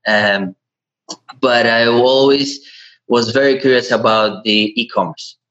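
A man talks as an interview guest. He speaks haltingly, doubling back on his words. The recording is slightly dull and lo-fi. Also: fairly low pitch, a bit bright. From Latvia. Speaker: podcast guest, e-commerce entrepreneur. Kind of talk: conversational interview answers. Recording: dull, lo-fi audio.

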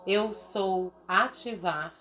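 A Brazilian woman speaks as a meditation guide with faint music behind her. Her delivery is very unhurried and soothing, with long pauses between short, deliberate phrases. Her voice sits at an ordinary female pitch. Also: fairly bright tone. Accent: Brazilian Portuguese.

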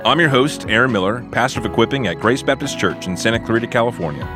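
A man's voice: medium pitch, animated, fast talker, over music. A man speaking as a podcast host and pastor, in a casual, conversational way.

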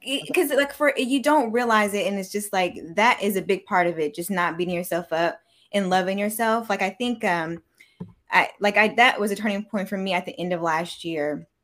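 A woman's voice: mid-range voice, normal speed, fairly bright tone. Informal woman host with mid-range voice. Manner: informal, affirming, empathetic. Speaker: interviewer, show host. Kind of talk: casual chat.

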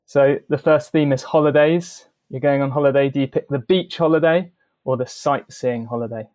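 A man hosting a podcast interview over a video call, relaxed and a little hesitant, with fillers. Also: medium pitch, normal speed. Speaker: podcast host. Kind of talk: friendly interview.

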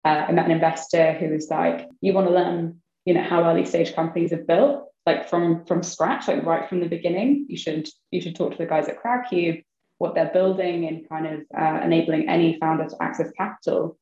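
A woman's voice: on the low side, fairly even intonation, brisk, warm tone. A young woman chatting casually as an interview guest, filling her talk with "like" and "kind of.". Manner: chatty, many fillers like "like" and "kind of". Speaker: guest, venture investor. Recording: roomy, slightly dull sound.

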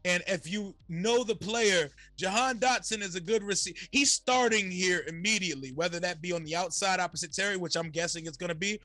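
Slightly hoarse guy, high bright voice, dry close sound, slangy and pumped. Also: no room sound. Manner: energetic, slangy, fast-flowing. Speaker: guest YouTube creator. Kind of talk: casual podcast chat.

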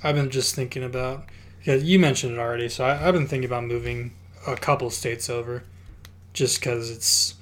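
A man's voice: medium pitch, fairly even intonation, a little fast, fairly bright tone. A man co-hosting a podcast, chatting in an easygoing way with many fillers. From Utah. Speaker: podcast co-host. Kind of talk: casual chat.

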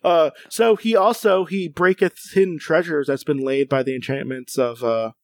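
Man, high voice, playful rambling podcast chat, dry close sound. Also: steady tone, moderate pace, darkish tone, no echo. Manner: playful, rambling with many fillers. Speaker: podcast co-host. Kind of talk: casual chat.

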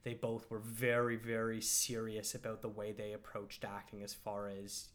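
Low-voiced man musing over a film. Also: monotone, moderate pace, fairly bright tone. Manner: thoughtful, hesitant, lots of fillers and restarts. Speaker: podcast host and film reviewer. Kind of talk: casual film discussion.

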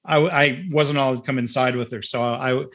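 A man moderating a webinar, relaxed and conversational, reading out audience questions. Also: medium pitch, a little fast, a little hiss or hum. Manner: relaxed, many fillers.

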